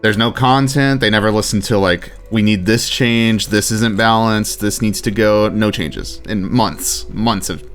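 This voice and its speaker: A man's voice: fairly low pitch, unhurried, few pauses, fairly bright tone, soft background music. A man chatting casually as a gamer, opinionated and argumentative in a matter-of-fact way. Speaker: gamer chatting during a let's play.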